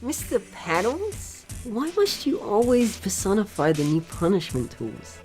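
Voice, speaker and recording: A woman's voice: mid-range voice, wildly swooping pitch, a little slow, a little mellow, faint music behind. A woman voicing an eager, cheeky young boy dragon sidekick in a parody cartoon, perky and mock-heroic. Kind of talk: fan parody cartoon dub.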